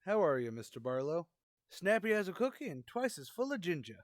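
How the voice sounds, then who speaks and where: A man's voice: high-pitched, big pitch swings, a little fast, dry recording, studio quality. An actor playing a spry, cocky elderly man, folksy and blunt, in an old-time radio comedy. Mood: suspicious.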